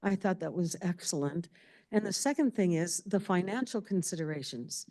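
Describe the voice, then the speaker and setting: A woman in the audience making a candid comment as a caregiver, speaking loudly. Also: fairly low pitch, animated, moderate pace, dry recording. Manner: loud, candid.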